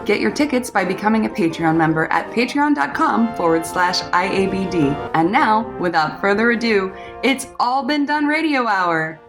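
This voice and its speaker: A woman's voice: medium pitch, animated, moderate pace, hardly any pauses. A woman announcing a comedy radio show in a scripted introduction. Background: music.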